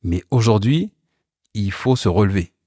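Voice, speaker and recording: A man's voice: lowish voice, expressive, measured pace, mellow, slightly rough, no room sound, studio quality. A man, born in Portugal, chatting animatedly as a guest in an interview, often repeating words while he looks for them. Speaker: guest, car company founder. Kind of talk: casual interview chat.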